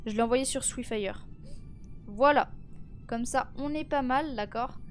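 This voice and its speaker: A woman's voice: a little high, unhurried, soft background music. A teenage girl streaming live, chatting casually with viewers; she sounds tired and stuffy from a cold, with lots of fillers and restarts. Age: teens.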